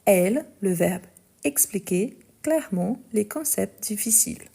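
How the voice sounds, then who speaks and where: A woman teaching a live online French lesson, speaking slowly and carefully, repeating each sentence and spelling out the verb endings. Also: mid-range voice, animated, vocal fry, dark tone, husky.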